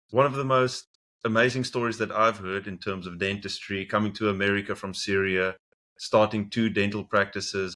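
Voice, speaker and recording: A man's voice: lowish voice, sharp tone. A man with a South African accent hosting an interview and encouraging his guest, with small restarts. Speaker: podcast host.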